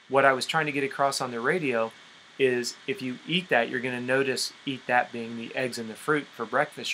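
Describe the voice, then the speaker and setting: A man's voice: mid-range voice, bright, forceful tone, slight background noise, rough recording. A man coaching nutrition on a conference call in an emphatic, explanatory way, often checking in with his listeners.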